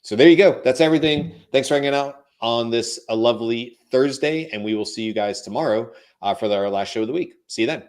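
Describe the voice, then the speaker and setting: A man co-hosting a live talk show, going hoarse and talking in a relaxed, rambling way full of fillers. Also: mid-range voice, brisk, hardly any pauses. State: hoarse, losing his voice. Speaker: co-host. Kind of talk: casual live show chat.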